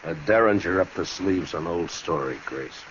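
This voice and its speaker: An American actor playing a stern, weary frontier marshal in a 1950s radio western, terse and measured in his talk. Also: sits low, a bit bright. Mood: stern, hard. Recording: muffled, hissy old radio recording.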